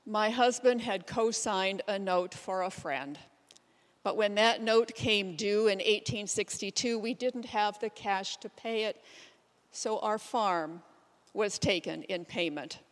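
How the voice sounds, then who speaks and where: A woman playing a plainspoken pioneer woman recounting her life in a deliberate storytelling way, as a readers theater monologue. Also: medium pitch, close to an everyday voice. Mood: matter-of-fact. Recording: echoey hall, long reverb.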